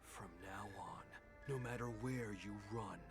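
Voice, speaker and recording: A man voicing a stern, scornful soldier in a video game, speaking in a cold, measured, formal way. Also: lowish voice, lightly acted. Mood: stern warning. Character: stern, scornful male soldier confronting an enemy. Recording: music behind the voice.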